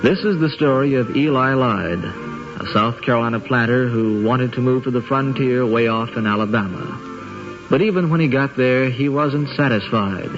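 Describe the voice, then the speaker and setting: A man's voice: mid-range voice, normal speed. Male old-radio narrator over music. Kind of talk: scripted 1950s radio documentary narration. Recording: dull 1950s radio recording.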